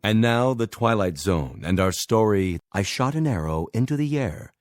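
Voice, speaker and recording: A man's voice: fairly low pitch, animated, moderate pace, dry recording, faint music behind. A man announcing a scripted show introduction as a radio drama announcer.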